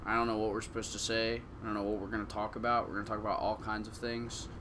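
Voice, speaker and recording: Halting young man on a casual podcast. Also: lowish voice, little pitch movement, noisy background. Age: young adult. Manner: halting and filler-heavy, teasing and affectionate. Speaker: podcast co-host. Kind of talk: casual chat.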